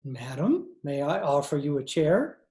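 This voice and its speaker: A courtly old tippler of a judge played by a man in a radio play, heard in a roomy echo. His tone is dark and mellow, his speech florid and halting. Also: on the high side, big pitch swings. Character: courtly, tipsy old male gentleman called the Judge. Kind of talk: 1940s radio drama acting. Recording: roomy, muffled-toned video-call echo.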